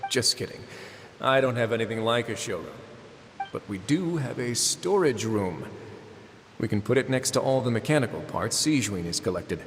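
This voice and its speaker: A laid-back male prison warden from a video game, voiced by a man, speaking in a mid-range voice with relaxed, wry banter. A long reverb trails behind his lines. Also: medium pitch, normal speed, a little hiss or hum, lightly acted.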